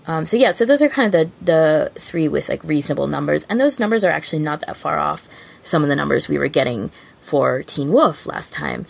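A woman's voice: deep voice, animated, a little fast, gravelly. A woman co-hosting a podcast in casual, unscripted chat. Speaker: podcast co-host.

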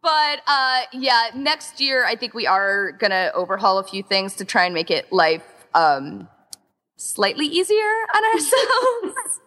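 A woman talks in a casual interview with a bright, highly animated voice. Her delivery is informal and emphatic, peppered with "like" as she gushes. The recording carries a long reverberant tail. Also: measured pace. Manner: informal, gushing, emphatic, says "like" constantly. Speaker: podcast interview guest, event organizer.

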